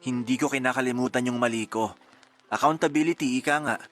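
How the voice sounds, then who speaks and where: A man playing a modest, sincere young man in a Filipino audio drama, earnest and gently bantering. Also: mid-range voice, brisk, soft background music, lightly acted. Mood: apologetic.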